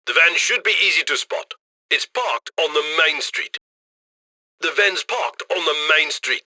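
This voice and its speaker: Cocky heist boss dude in a video game, chummy n theatrical, highish voice, super crisp forceful edge, talking over comms. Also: male voice, animated, unhurried, no echo, full character voice. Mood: briskly instructing. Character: cocky, jovial male heist mastermind guiding his crew. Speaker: mission handler voice in a game. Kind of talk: scripted video game mission voice lines.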